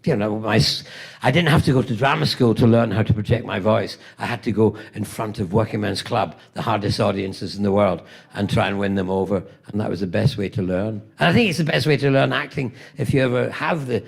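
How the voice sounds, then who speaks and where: Gravelly older British man telling stories. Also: fairly low pitch, fairly even intonation, fairly quick. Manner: rambling anecdotal, playful, self-mocking asides. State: coughing, tickle in throat. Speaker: convention guest actor. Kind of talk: convention Q&A storytelling. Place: convention hall stage.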